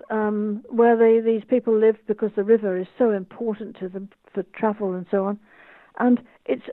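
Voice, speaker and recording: Woman in her eighties, from the United Kingdom, author and guide, enthusiastic, higher voice, very dry sound. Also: dry recording. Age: 80s. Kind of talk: interview over video call. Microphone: video call. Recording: tinny phone-like call line.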